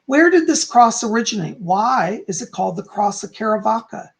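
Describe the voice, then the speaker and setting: A calm, soft-spoken man who is hoarse and losing his voice, teaching on a livestream and reading aloud from a book. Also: high voice, normal speed. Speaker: psychic teaching about folk magic.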